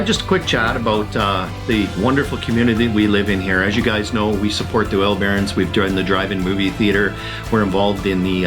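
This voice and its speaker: A man giving a casual sponsor message as a car dealership spokesman. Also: on the low side. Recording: loud guitar music bed. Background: guitar music.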